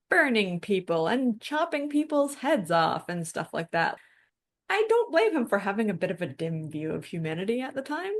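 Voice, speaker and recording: A woman co-hosting a podcast, explaining things in a casual, informal, discussion style with plenty of colloquial filler words. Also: mid-range voice. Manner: informal, peppered with "like" and "you know". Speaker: podcast co-host.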